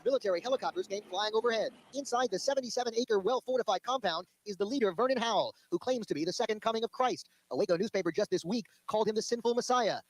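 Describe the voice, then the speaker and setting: A male TV news reporter delivering a brisk, formal field report. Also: high voice, dry recording, rough recording. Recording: archival TV news broadcast.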